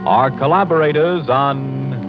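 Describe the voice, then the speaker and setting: A man's voice: medium pitch, a little slow. Male announcer on dull old radio. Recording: dull 1940s radio recording. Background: music.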